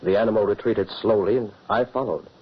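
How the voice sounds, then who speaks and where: A man's voice: on the low side, a little fast, slightly rough. An actor playing a hospitable, rugged huntsman father in an old-time radio horror drama, with an earnest, dramatic way of telling things. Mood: earnest recounting. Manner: earnest, dramatic storytelling delivery. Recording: muffled old radio recording.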